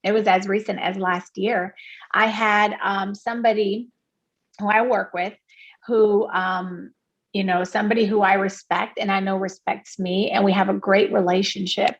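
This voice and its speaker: A woman's voice: medium pitch, little pitch movement, moderate pace, lo-fi sound. A woman from Puerto Rico, a guest in an interview, talking earnestly with many restarts and fillers. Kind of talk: candid interview conversation.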